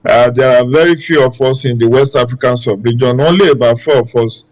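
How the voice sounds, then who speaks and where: A man giving his take as a guest on a political talk show. He talks in a measured way, pausing often and thinking out loud as he builds his point. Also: mid-range voice, rough recording. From Nigeria. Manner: measured, many filler pauses. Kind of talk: panel discussion.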